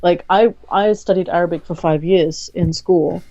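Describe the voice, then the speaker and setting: A woman with a low, mellow voice talks candidly as a podcast guest, pausing often with a thoughtful "um" before going on. The sound is dry and close, a little dull and lo-fi. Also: steady tone, no echo. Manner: thoughtful, measured, frequent "um" pauses. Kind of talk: candid conversational interview.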